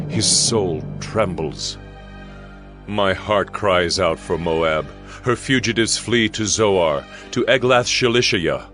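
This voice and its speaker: A man with a very deep voice narrates dramatized scripture. His delivery is solemn and declamatory, leaning firmly on key phrases. Soft music runs quietly behind him.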